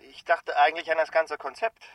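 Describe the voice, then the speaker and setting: An actor playing a pedantic, needling radio caller, precise and smug, in a radio drama, sounding set back from the microphone. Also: male voice, fairly high pitch, level tone, fairly quick, fairly bright tone, no echo, distant mic, close to an everyday voice. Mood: smug, challenging.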